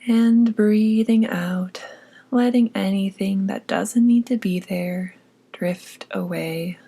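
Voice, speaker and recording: A woman narrating a guided meditation in a soft, breathy voice, with a soothing, gently instructing manner. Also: medium pitch, slow. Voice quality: soft, breathy, often near whisper.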